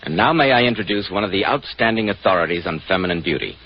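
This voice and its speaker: A man's voice: lowish voice, animated, fast. A man with an American accent announcing formally and with polish in the style of 1940s radio. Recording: dull 1940s radio recording. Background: music.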